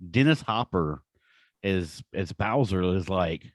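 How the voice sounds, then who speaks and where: A man with a low voice hosts a casual podcast. He talks in a halting but enthusiastic way, recorded close and dry. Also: expressive, no echo. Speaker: podcast host.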